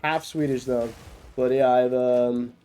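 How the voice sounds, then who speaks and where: A man's voice: mid-range voice, fairly even intonation, measured pace, dark tone, clear, resonant tone. A young man from Norway who is sick with a cough, chatting loosely with viewers while streaming a game, with lots of fillers and restarts. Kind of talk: casual chat with stream viewers while gaming.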